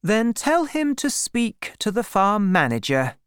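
A woman's voice: medium pitch, very animated, measured pace, smooth, no echo, clean studio sound, voice pushed up. A woman voicing a composed, authoritative young lady farm owner in a storybook reading. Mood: commanding.

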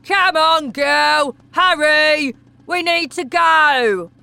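A woman voicing a gruff, impatient father who barks orders loudly. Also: high-pitched, unhurried, no room sound, voice pushed up. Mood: bossy. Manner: loud, barking orders.

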